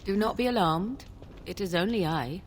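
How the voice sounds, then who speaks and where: An actress playing a mysterious, guarded young sorceress in a fantasy game, speaking in a cool, formal, archaic manner. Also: female voice, mid-range voice, expressive, moderate pace, slight background noise, lightly acted. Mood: cool, persuasive. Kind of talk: fantasy video game dialogue.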